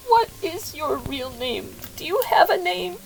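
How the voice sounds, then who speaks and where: High-voiced, creaky, anxious widow, acted by a woman. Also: heavily acted, low-quality recording. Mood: cautious. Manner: halting, repeats words, formal old-fashioned phrasing. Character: anxious, devout widow, overwhelmed, speaking to her dead husband's likeness. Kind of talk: audio drama acting. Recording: faint background noise.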